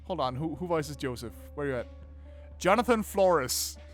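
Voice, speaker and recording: Higher-voiced man doing sweary game commentary. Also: normal speed. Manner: casual, sweary, expressive. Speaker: gaming video host. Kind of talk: game playthrough commentary, reading narration aloud. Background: faint game music.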